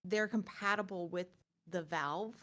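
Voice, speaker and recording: A woman with a slightly low voice co-hosts a gaming news show. She is chatty and informal, wandering through her explanations. At times she shifts into reading prepared copy before returning to casual talk. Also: unhurried, sharp tone. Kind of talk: casual chat with some scripted reading.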